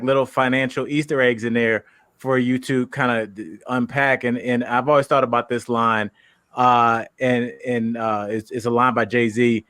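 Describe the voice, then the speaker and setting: A man's voice: medium pitch, fairly bright tone, dry recording, studio quality. A man co-hosting a podcast, asking questions and joking around. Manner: joking, laughs often. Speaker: podcast co-host. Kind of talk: casual podcast interview.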